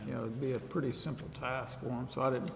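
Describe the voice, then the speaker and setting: Guy chatting casually at a town meeting, creaky voice, lots of echo. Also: medium pitch, little pitch movement, quick pace. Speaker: meeting participant making a request. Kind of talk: casual remarks at a public meeting. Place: indoor council chamber. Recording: echoey chamber, faint hiss.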